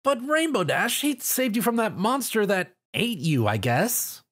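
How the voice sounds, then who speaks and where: A man's voice: high-pitched, very animated, unhurried, fairly bright tone, husky, voice pushed up, heavily acted. A man voicing a cheeky, friendly little boy dragon in a story. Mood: defensive.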